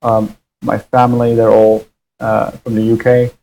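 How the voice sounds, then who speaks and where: A man from the UK teaching a live online English lesson, explaining grammar in a relaxed way with many ums and uhs, on muffled livestream audio. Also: medium pitch, measured pace, gravelly.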